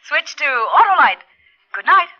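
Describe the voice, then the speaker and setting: High, animated vintage radio ad woman. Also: unhurried, soft background music. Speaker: commercial announcer. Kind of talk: brisk 1950s radio advertising. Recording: muffled old radio recording.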